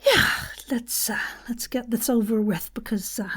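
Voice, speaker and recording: A woman playing an anxious, reluctant woman in an audio drama, speaking in a hesitant, halting way. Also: on the high side, slightly rough. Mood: uneasy. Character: anxious, reluctant woman named Karen seeking help.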